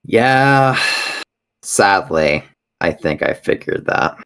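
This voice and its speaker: A man's voice: low voice, animated, very slow, a bit bright, clear, resonant tone, rough recording. A man playing a tabletop fantasy game, speaking casually in and out of character.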